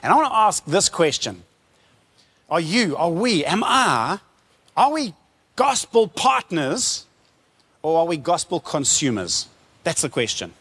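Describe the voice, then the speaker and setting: A man preaching in an animated storytelling style, using rhetorical questions, emphatic repetition and informal retelling. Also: on the high side, a little slow, pauses often, husky, in a large hall.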